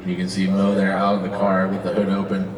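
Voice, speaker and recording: A man's voice: low voice, monotone, fast, background noise. A man commentating live on drifting from a track booth, explaining the action in a casual play-by-play style. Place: announcer booth at an outdoor race track. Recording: echoey, distant tower audio, loud crowd and engines. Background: loud crowd and car engines.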